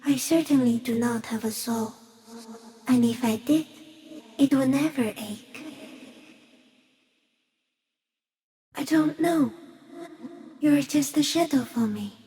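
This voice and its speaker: Slow, high-voiced, otherworldly insect creature. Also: female voice, mellow. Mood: wistful, weightless. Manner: slow, halting, deliberate musing in short fragments. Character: ancient, serene, otherworldly giant stick insect, detached and curious. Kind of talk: video game character voice acting.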